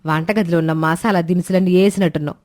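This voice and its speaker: A woman's voice: lowish voice, fairly even intonation, fast, darkish tone, no room sound, studio quality, lightly acted. A woman playing a grumbling, suspicious middle-aged mother-in-law in a cartoon moral story. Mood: scolding.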